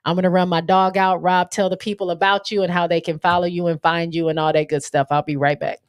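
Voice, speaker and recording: An American woman hosts a casual livestream chat. Her voice is a bit lower than average, in a very dry recording. She speaks informally and bluntly as she responds to viewers. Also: level tone, quick pace, dry recording. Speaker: livestream host.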